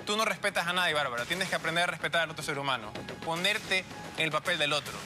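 Quick, admonishing man. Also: a little high, over music. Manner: insistent, admonishing, repetitive. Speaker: panelist. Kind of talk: scolding on a TV dispute show. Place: TV studio.

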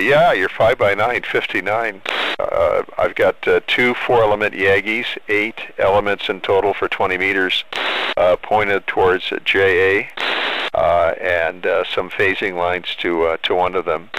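An older American man speaking as a friendly amateur radio operator in a deliberate call-sign exchange. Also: sits low, low-quality recording. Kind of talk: ham radio contact, spelling out call signs. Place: small home radio shack, indoors. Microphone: handheld boom microphone up close. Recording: loud radio static, clicks.

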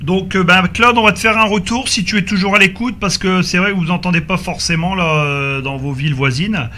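A man chatting casually and haltingly as an amateur radio operator, heard over a two-way radio. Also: sits high, pushed and bright. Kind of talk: casual radio group chat. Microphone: radio microphone.

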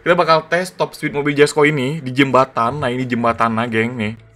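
A man giving excited, slangy gameplay commentary as a gaming YouTuber. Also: medium pitch, brisk. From Indonesia. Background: background music.

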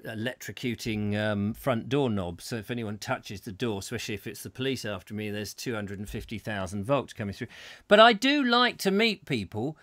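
Middle-aged English host, comic and mock-stuffy; dry desk mic in a small studio. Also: male voice, fairly low pitch, lively intonation, moderate pace, fairly bright tone, no echo. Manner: mock-stuffy, drops to mutter for jokes. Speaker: chat show host. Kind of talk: comic chat show banter. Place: small home studio with neon signs. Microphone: headphones, desk mic.

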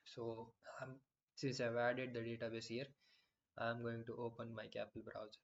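Man with flat, low-key tutorial voice. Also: normal speed, gravelly, lo-fi sound, a little hiss or hum. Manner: explanatory, many fillers and restarts. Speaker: software tutorial instructor. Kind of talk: screen-recorded software tutorial.

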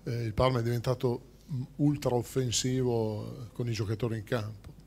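A man's voice: medium pitch, fairly even intonation, normal speed, darkish tone, a little hiss or hum. A man, a sports journalist, putting long conversational questions at a press conference. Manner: conversational, explaining his point at length.

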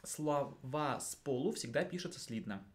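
A man's voice: mid-range voice, expressive, moderate pace. Man thinking aloud in casual chat. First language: Russian. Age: 30s. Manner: questioning, colloquial. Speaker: social studies teacher. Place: small indoor studio.

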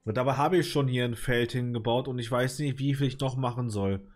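A man with a cold commenting casually on his city-building game, hesitant and rambling with many fillers, clearing his throat now and then. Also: mid-range voice, fairly quick. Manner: rambling, hesitant, lots of filler, mild swearing. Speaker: let's play gamer.

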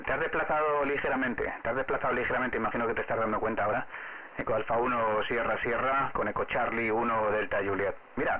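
A man with a Spanish accent from Spain talking casually and explaining things as an amateur radio operator, spelling callsigns phonetically. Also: mid-range voice. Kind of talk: casual ham radio contact. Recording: narrow phone-like sound with faint radio noise.

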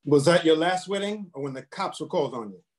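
Casting: man acting fussy know-it-all son, quick, lively intonation, rambling, comedy read. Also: fairly high pitch, lightly acted. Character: pedantic, fast-talking adult son, advice-giving. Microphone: computer mic on video call.

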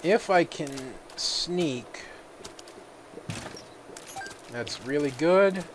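A man's voice: fairly high pitch, very slow, noisy background. Man narrating gameplay while a little sick. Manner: relaxed, thinking aloud with many fillers. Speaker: gaming video host. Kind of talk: casual let's-play commentary.

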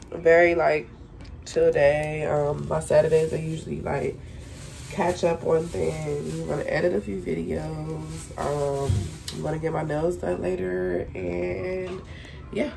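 A young woman with a Southern American accent, a mom chatting casually into a phone held at arm's length, in a relaxed, slangy way. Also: low-pitched, vocal fry, noisy background. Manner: chatty, laid-back, slangy. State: stuffy nose. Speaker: vlogger, mom. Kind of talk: casual selfie vlog chat. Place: car at a gas station, then a home kitchen.

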